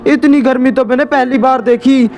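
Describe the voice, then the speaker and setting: Man doing Rahul, a loud, high-pitched village lad. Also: steady tone, normal speed. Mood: exasperated. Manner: loud, exclaiming, melodramatic. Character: brash, excitable young village man, Rahul. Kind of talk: cartoon comedy story.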